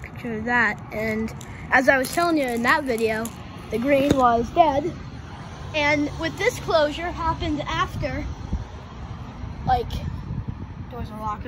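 Clear street noise surrounds a woman recording outdoors on a handheld phone. Her voice is high. She hosts a casual walking vlog, rambling and thinking out loud as she goes. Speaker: host of an abandoned retail vlog. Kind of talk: casual walk-around vlog commentary. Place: outdoors on a shopping street sidewalk.

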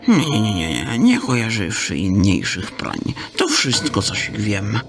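An actor playing a sly, greedy male fence in a radio drama, wheedling with a gravelly voice and mangled, fussy speech. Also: on the low side, huge pitch swings, measured pace, full character voice. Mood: evasive. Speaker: fence Dallas. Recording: radio drama with music behind.

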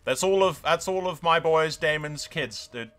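A man's voice: on the high side, normal speed, fairly bright tone, soft background music. A man hosting a gaming video, mixing dramatic role-play storytelling with sweary, casual strategy game commentary, in a theatrical manner.

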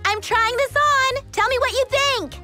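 Very high-pitched bubbly teenage girl. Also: a little slow, bright, forceful tone, exaggerated voice. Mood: bubbly, upbeat. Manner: gushing, breezy. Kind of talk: animated game cutscene acting. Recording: music under the voice.

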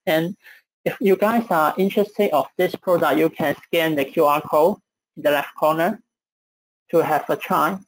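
A man with a Chinese accent presenting software in a webinar, hesitant with frequent fillers. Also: fairly high pitch, moderate pace, no room sound, poor audio.

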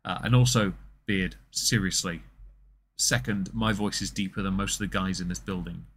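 A man in his thirties with a deep voice, mocking a video in sarcastic commentary as a stream host. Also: fairly even intonation, normal speed, fairly bright tone, slight background noise. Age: 30s.

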